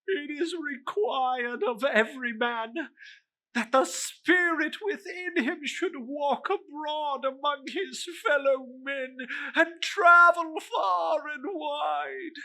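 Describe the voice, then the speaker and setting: A woman voicing an anguished, mournful male ghost in chains, with drawn-out, wailing, solemn lines in a dramatic storybook reading. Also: high-pitched, bright, forceful tone, voice pushed up, full character voice.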